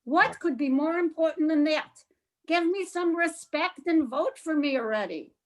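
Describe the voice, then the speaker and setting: A woman with a very high voice performs an amateur comic monologue. She plays a put-upon holiday demanding respect, with colloquial, mock-indignant delivery. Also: normal speed. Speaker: performer in a congregation's reader's theater.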